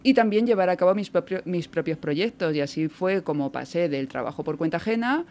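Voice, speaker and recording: A woman's voice: fairly low pitch, animated, brisk, darkish tone, slight background noise. A woman from Spain with a neutral Spanish accent, a guest talking in a casual radio chat.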